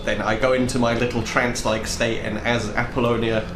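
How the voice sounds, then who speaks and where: A man's voice: medium pitch, level tone, brisk, background noise. A man playing a tabletop game, casually improvising and narrating his character's actions, with music behind. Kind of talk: casual improvised role-play and chat. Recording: roomy echo with music underneath.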